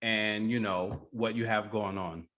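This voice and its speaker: A man's voice: on the low side, fairly even intonation, unhurried, fairly bright tone. A man hosting a casual radio interview, speaking in an agreeable, conversational way. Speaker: radio show host.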